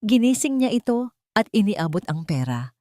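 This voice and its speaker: A woman's voice: medium pitch, big pitch swings, normal speed, dark tone, dry recording, studio quality. A woman with a Filipino accent reading a scripted dramatic story as a storyteller.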